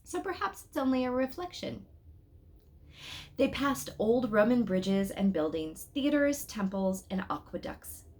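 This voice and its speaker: A woman reading a children's story aloud expressively, pausing to speak directly to her listeners with questions and asides. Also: on the high side, lively intonation, darkish tone.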